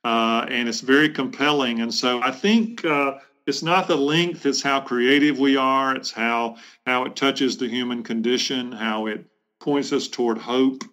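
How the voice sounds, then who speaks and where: A middle-aged man, a bishop answering interview questions in a reflective, halting way with measured phrasing. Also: mid-range voice, fairly bright tone. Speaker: bishop, podcast guest. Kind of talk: thoughtful interview conversation.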